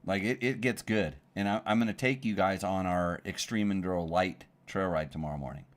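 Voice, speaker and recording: A middle-aged man hosting a relaxed conversation, friendly and prone to stumbling restarts. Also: low-pitched, brisk. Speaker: host. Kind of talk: casual chat.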